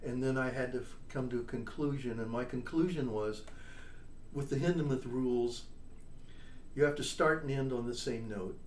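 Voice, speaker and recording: Older male interview guest, chatty storyteller, mid pitch, distant mic in a roomy space. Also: moderate pace. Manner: chatty storyteller, tags points with "all right?" and "you know?". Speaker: interview guest, trombonist and composer. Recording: echoey room, distant mic, faint noise.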